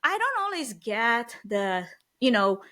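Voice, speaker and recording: A woman's voice: on the high side, slow pace. A woman in her forties with a Romanian accent, a non-native English speaker talking animatedly on a video call as an interview guest. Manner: animated, talks with her hands, uneven tempo. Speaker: guest, AV production business owner. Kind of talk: casual interview chat. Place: home office with lit bookshelves.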